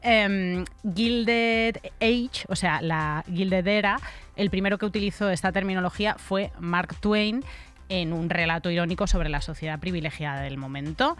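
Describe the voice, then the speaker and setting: A woman's voice: lowish voice, normal speed, soft background music. A middle-aged woman with a Castilian Spanish accent co-hosting a podcast, giving casual humorous commentary in an irreverent, emphatic, colloquial way on a close studio mic. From Spain. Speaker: podcast co-host. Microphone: close studio microphone.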